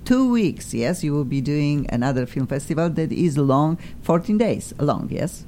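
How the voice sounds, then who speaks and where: Gushing rambly lady hosting a talk show, mellow voice, faint rumble behind. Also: normal speed. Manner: effusive, excitable, rambling. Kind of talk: casual chat show hosting.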